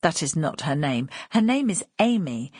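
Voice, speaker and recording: A woman's voice: low voice, very animated, moderate pace, a little mellow, slightly rough, no echo, studio quality. A woman reading a dramatic novel as scripted audiobook narration.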